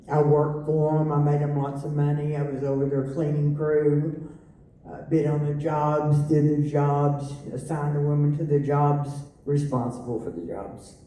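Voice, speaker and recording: A middle-aged woman giving a personal testimony, halting with many fillers and restarts. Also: sits low, warm tone, slight background noise. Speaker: testimony speaker. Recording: echoey room, voice from far off.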